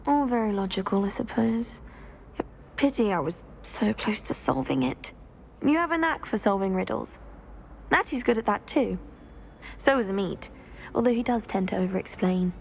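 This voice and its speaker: A woman's voice: mid-range voice, sing-song, moderate pace, vocal fry, slight background noise. An actress playing an earnest, inquisitive, slightly eccentric British schoolgirl witch in a video game, chatty and proper. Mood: surprised, rueful. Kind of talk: video game dialogue acting.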